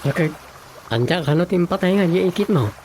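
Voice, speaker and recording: A man's voice: high voice, animated, brisk, darkish tone, a little hiss or hum. A man with an Ilocano accent acting in a Filipino radio drama as a village man. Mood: concerned. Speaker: radio drama narrator and actor. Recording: music bed behind the voice.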